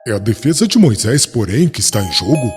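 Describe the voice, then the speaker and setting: A man's voice: medium pitch, big pitch swings, a little fast, natural voice. A man playing a proud, wrathful young doctor of the law in a dramatized audiobook, speaking with formal, self-righteous vehemence. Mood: indignant, insistent. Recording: long reverb, music behind.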